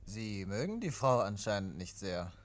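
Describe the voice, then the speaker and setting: An actor playing a calm, matter-of-fact police inspector in a 1950s German radio play, speaking with dry precision. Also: male voice, lowish voice, moderate pace. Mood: dry probing. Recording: vintage 1951 radio drama recording.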